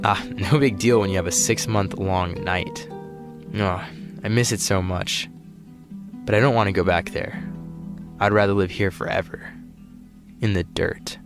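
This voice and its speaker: An actor playing a weary, despairing space flight attendant in an audio drama, speaking in a halting, dejected, grumbling way. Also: male voice, low-pitched, steady tone. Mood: resigned. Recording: music behind the voice.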